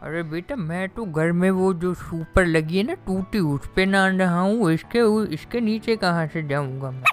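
A man's voice: high voice, measured pace, soft background music. A man voicing an amiable older uncle, marvelling in a folksy, repetitive way. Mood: puzzled.

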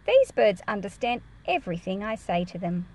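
Woman reading as a sweet gentle old aussie lady from a storybook, fond and soothing, voice goes up and down a lot. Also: medium pitch, sing-song, moderate pace. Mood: wistful. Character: gentle lonely old lady who loves pigeons.

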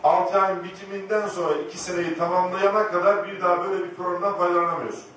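A man's voice: high voice, flat delivery, quick pace, fairly bright tone, a little hiss or hum. A middle-aged man, an official explaining rules to a public assembly informally, repeating himself for emphasis. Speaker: official running a public job lottery. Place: large conference hall, on stage. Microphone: handheld PA microphone, picked up by distant camera. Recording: echoey hall PA recorded from far off.

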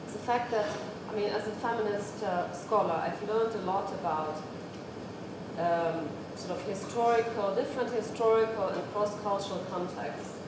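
A middle-aged woman with a German accent gives an informal academic talk, speaking in a reflective, conversational and hesitant way. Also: mid-range voice. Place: reverberant indoor talk venue. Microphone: distant microphone. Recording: very echoey room, distant mic, loud noise.

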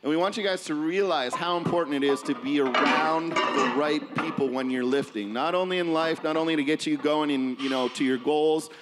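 A man's voice: a little high, brisk, few pauses, background noise. A man leading an informal live gym seminar as a coach, casual and motivational, talking to a group. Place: large indoor gym, speaking to seated group. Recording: reverberant gym hall, distant camera.

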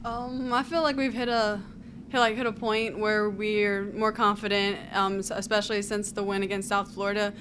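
Young woman player, short hesitant answers, pretty flat delivery, noisy press room. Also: mid-range voice, normal speed. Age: young adult. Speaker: college basketball player. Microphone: table mic.

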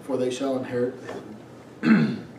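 A man's voice: mid-range voice, flat delivery, vocal fry, darkish tone, a little hiss or hum. A middle-aged man, a pastor, preaching emphatically, reading scripture and pressing his points with rhetorical questions. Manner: emphatic, exhorting, drawn-out phrases with rhetorical questions. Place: small church sanctuary, on stage. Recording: echoey room, distant from mic.

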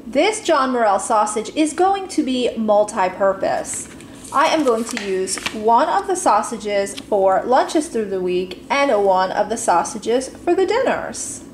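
A woman hosting a budget cooking video, calmly explaining each step from her home kitchen with a few casual fillers. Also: a little high, unhurried, slight background noise. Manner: friendly step-by-step instructions with fillers. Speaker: cooking channel host.

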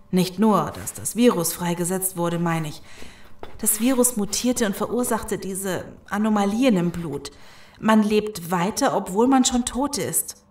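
A woman's voice: medium pitch, slight background noise, lightly acted. A woman playing an earnest scientist in a German audio drama. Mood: grave. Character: earnest scientist woman explaining a zombie virus. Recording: echoey room sound.

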